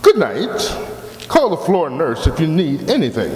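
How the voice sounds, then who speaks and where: A man's voice: on the high side, wildly swooping pitch, a little slow, a little mellow. A man playing a breezy, glib, reassuring surgeon in old-time radio comedy, heard in an echoey hall. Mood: blithe. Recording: echoey hall, long reverb, background noise.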